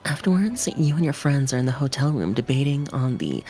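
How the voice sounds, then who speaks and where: Low-voiced woman narrating a game. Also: very animated, brisk, mellow. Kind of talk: scripted narration read aloud.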